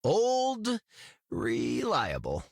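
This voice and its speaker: Cocky, animated young hotshot. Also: male voice, mid-range voice, drawn-out words, gravelly, husky, no echo, studio quality, exaggerated voice. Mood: breezy, teasing. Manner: breezy, teasing, slangy. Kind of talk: video game voice acting.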